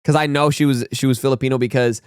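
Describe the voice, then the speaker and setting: A man's voice: medium pitch, fairly even intonation, quick pace, clean tone, no echo, studio quality. A young man from Brazil chatting casually and rambling with slang while reacting to a video. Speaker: reaction channel host.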